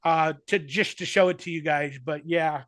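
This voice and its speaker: A man's voice: fairly high pitch, steady tone, normal speed, a bit bright, no echo, clean studio sound. A man in his forties, a guest actor on a video call, talking in a rambling, enthusiastic way full of fillers. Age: 40s. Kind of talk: casual remote interview.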